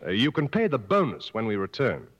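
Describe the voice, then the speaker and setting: An actor playing a blunt, world-weary, middle-aged safari guide in a 1950s radio drama, speaking frankly and dryly. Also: male voice, mid-range voice, huge pitch swings. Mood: grave, frank. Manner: frank, measured, dry. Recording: muffled old radio broadcast, long reverb.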